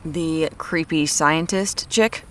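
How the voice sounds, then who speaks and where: Brisk woman detective, noisy backdrop. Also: low-pitched, fairly bright tone. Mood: dry, brisk. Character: brisk, evasive young woman amateur detective. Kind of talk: audio drama acting.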